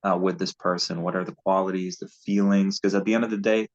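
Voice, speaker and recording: Deep-voiced man, dull lo-fi call audio. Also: little pitch movement, fast. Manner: earnest, loose and rambling, laughs at own slips. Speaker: guest relationship coach. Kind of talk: casual spiritual advice talk.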